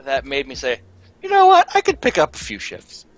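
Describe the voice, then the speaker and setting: A man's voice: mid-range voice, wildly swooping pitch, moderate pace, music in the background. A man chatting casually on a group livestream in a wry, joking way. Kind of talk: casual chat.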